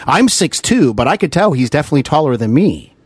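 A man's voice: on the high side, very animated, fast, husky, no echo. An American man hosting a conversational interview in an earnest, admiring manner, close to the microphone. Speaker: interview host. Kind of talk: conversational radio-style interview.

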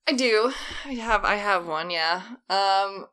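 A woman's voice: medium pitch, slow pace, pushed and bright, clean tone. A woman in her twenties from Texas, a podcast guest telling stories in a candid, self-mocking way, with a scratchy voice. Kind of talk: casual storytelling chat.

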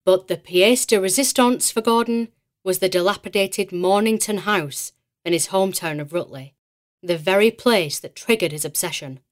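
A woman playing a poised podcast host of eerie mysteries in an audio drama, with a measured, articulate, theatrical delivery. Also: mid-range voice, close to an everyday voice. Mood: measured, grave. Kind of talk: scripted podcast narration.

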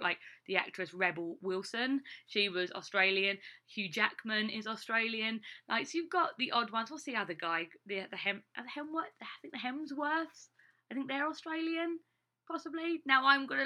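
Chatty Essex woman with a bright voice. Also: a little high. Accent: English, Essex. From England, Essex. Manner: rambling, chatty, lots of "like" fillers, laughs between thoughts. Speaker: vlogger. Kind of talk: casual talking-to-camera chat.

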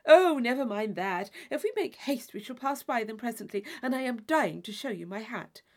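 Woman voicing a gushing, vain young lady, swooping pitch. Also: expressive, moderate pace. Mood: breezy, vain. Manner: theatrical, emphatic exclamations. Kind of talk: dramatised audiobook reading of a classic novel.

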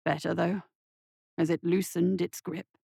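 A woman playing a calm, sinister male surgeon in an audiobook, polite and soothing, with a measured, softly commanding manner. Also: fairly low pitch, creaky, breathy edge, dry recording, studio quality, pitched below the performer's own voice, heavily acted. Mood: clinical.

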